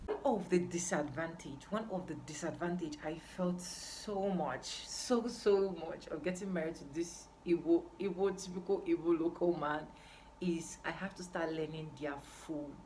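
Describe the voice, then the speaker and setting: A woman's voice: on the low side, normal speed, a little mellow, a little hiss or hum, rough recording. A young Nigerian woman chatting casually as a vlogger, emphatic and repeating phrases for stress. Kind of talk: casual chat to camera.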